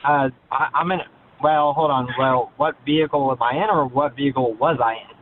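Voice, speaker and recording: A man's voice: mid-range voice, normal speed. Hesitant male caller on a tinny phone. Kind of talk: halting phone conversation. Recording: thin, narrow-band phone line.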